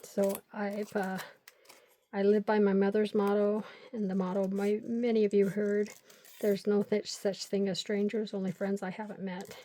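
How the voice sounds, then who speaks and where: A woman's voice: medium pitch, little pitch movement, normal speed, dark tone. Chatty older woman crafting at her desk. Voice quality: chatty, rambling storyteller. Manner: talks to herself while gluing. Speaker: crafting channel host.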